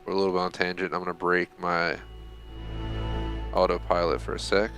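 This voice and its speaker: A man's voice: sits low, monotone, a little fast, vocal fry, over music. A young man giving casual, rambling live commentary while playing a game, swearing when frustrated. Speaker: game streamer.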